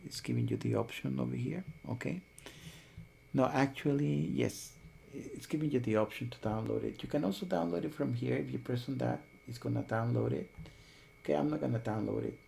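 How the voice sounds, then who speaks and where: A man hosting a software tutorial, explaining each step while thinking aloud. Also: mid-range voice, a little fast, gravelly, slight background noise. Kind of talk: screen-recorded tutorial.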